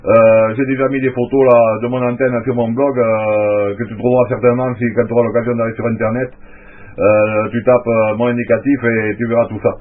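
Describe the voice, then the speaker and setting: A man's voice: mid-range voice, flat delivery, fairly quick, narrow, tinny audio. Rambling man over a radio link. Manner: rambling, filler-heavy. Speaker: CB radio operator. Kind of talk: radio contact chat.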